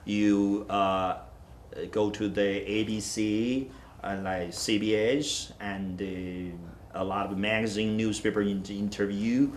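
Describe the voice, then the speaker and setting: A middle-aged man, native Chinese speaker, hosting a TV studio interview in halting English with many fillers. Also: on the low side, fairly even intonation, slight background noise. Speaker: TV talk show host. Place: TV studio set.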